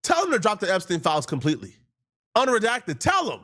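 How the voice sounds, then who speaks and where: A man with a fairly high voice delivering opinionated video commentary. His pitch swings widely, and he is emphatic and indignant, leaning on slang and repeating phrases to drive points home. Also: huge pitch swings, quick pace, bright, forceful tone.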